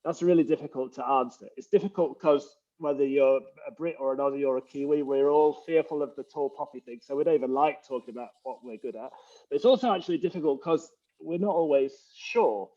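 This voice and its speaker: A man's voice: medium pitch, brisk, warm tone, muffled recording. A man with an English accent, a leadership coach explaining earnestly in a conversational interview over a video call. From England. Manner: earnest, explanatory, frequent uh fillers.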